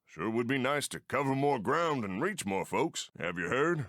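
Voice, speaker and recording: An actor playing a friendly Old West steam train engineer in a video game, with an American accent and folksy frontier phrasing. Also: male voice, mid-range voice, sing-song, normal speed, fairly bright tone, no echo, studio quality. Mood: helpful, earnest. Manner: folksy, colloquial drawl of the frontier. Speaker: mission-giver game character. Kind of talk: animated video game voice acting.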